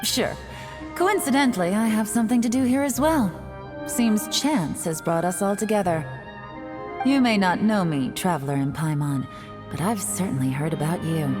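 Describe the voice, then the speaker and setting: A woman's voice: medium pitch, close to an everyday voice. A woman voicing a cool, confident, secretive official in an anime-style game, composed, dry and authoritative. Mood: wry, then formal, cool. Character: cool, confident, secretive female official guarding restricted ground. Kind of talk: anime game cutscene voice acting. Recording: music behind the voice.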